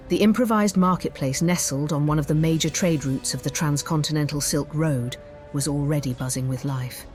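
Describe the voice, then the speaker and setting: Female audiobook narrator for fantasy, measured, descriptive delivery with a faint music bed. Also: deep voice, darkish tone.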